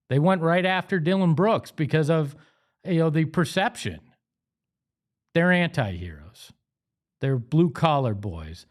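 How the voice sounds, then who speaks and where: A man with an American accent hosting a sports show, speaking in an emphatic, conversational way. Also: medium pitch. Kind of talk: opinionated sports talk and host-read ads.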